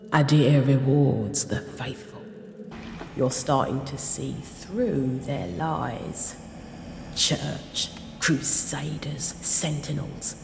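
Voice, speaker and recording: An actress playing a hardened, fervent zealot of a dark god in a video game, menacing, scornful and deliberate, with long echo on the voice. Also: female voice, low-pitched, creaky, heavily acted. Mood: bitter contempt. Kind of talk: dramatic video game dialogue. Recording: echoey long reverb, noisy ambience.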